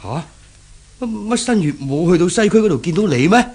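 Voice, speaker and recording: A man's voice: high-pitched, sing-song, brisk, a little mellow, slightly rough, exaggerated voice. A man acting in a 1970s Cantonese radio drama as an anxious middle-aged shopkeeper father with a Hong Kong accent, stammering and repeating his words. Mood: flustered. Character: anxious older shopkeeper father, flustered and kindly.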